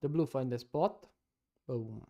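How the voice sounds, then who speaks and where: A man from Italy with an Italian accent, giving halting casual live-stream commentary on taekwondo fights and answering viewers. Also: medium pitch, vocal fry, warm tone. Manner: halting non-native English with many "uh"s, chatting with viewers.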